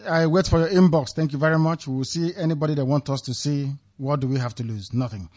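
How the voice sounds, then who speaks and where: A man's voice: a little high, fast talker, a little mellow. Man hosting a lo-fi call-in radio show. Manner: firm, declaring, repeatedly hailing callers. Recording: dull lo-fi radio audio.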